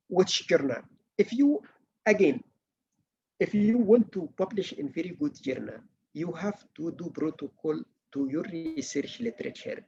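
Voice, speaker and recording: Halting man lecturing, gravelly. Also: a little high, a little mellow, lo-fi sound. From Malaysia. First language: not English. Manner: earnest, halting, many restarts and fillers. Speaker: workshop lecturer. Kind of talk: academic writing workshop lecture.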